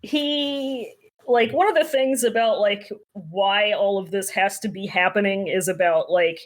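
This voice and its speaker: A woman's voice: medium pitch, measured pace. Irreverent woman, casual and slangy. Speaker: podcast co-host. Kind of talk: casual book recap chat.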